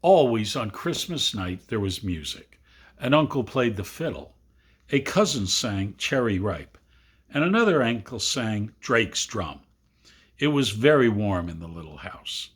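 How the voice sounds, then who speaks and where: Male storybook narrator, slightly slow and husky, with dramatic, widely swinging delivery. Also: lowish voice, big pitch swings. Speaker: amateur reader of a literary story. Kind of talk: expressive literary storybook narration.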